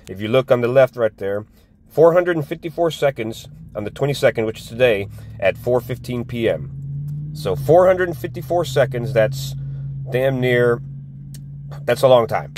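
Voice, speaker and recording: Male service tech, medium pitch, plain-spoken and explanatory, informal handheld recording in a noisy equipment room. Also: lively intonation, normal speed. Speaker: HVACR service technician. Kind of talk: informal troubleshooting walkthrough, thinking aloud. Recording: handheld recording with machinery noise.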